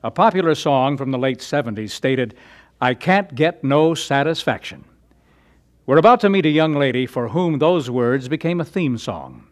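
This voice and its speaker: A man introducing a radio drama in a measured, formal announcer's delivery. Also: medium pitch, lively intonation.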